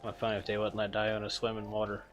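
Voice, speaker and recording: A man gives casual gameplay commentary in a low voice, full of relaxed, half-mumbled asides. He chuckles often as he goes. Faint game music plays behind him. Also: racing. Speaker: streamer.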